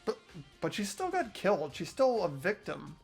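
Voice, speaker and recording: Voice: medium pitch, wildly swooping pitch, normal speed, vocal fry, husky, faint music behind, exaggerated voice. Someone voicing an earnest, hesitant teen boy. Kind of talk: amateur voiced game playthrough.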